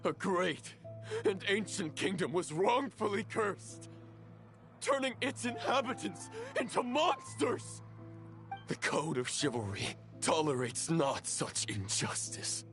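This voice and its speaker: Fallen chivalrous knight, measured slow delivery, formal and grave, scored game scene. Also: male voice, high-pitched, very animated, heavily acted. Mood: fervent vow. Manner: formal, oratorical, archaic. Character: solemn, righteous fallen male knight. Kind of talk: anime video game dialogue. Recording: game music behind the voice. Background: game music.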